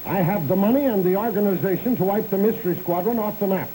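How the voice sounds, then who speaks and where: Smug falsely polite villain , a man, 1930s serial, mellow dark tone, old tape noise. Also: sits high, fast talker, lightly acted, low-quality recording. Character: smooth scheming male rival contractor. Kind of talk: 1930s film serial acting. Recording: hissy old VHS film transfer.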